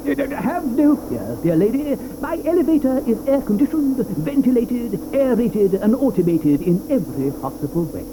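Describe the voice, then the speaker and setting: An eccentric chocolatier, lecturing and stammering with a whimsical, chuckling air. His pitch swoops wildly across each line. The 1980s audio drama recording carries a steady hiss. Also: normal speed, hardly any pauses. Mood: breezy. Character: eccentric excitable male chocolatier, middle-aged. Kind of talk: 1980s radio drama acting.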